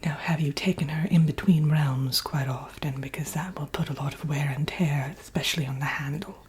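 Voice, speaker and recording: A woman voicing a vain, sly wicked witch in a breathy, whispered ASMR roleplay, chatting coyly as if with an old friend. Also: deep voice, fairly even intonation. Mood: appraising, soft. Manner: theatrical, coyly boastful, doting, slow and murmuring.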